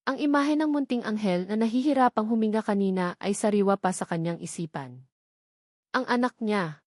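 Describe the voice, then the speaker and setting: A woman's voice: medium pitch, moderate pace, a little mellow, dry recording. Woman narrating a romantic drama, dry sound. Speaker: story narrator.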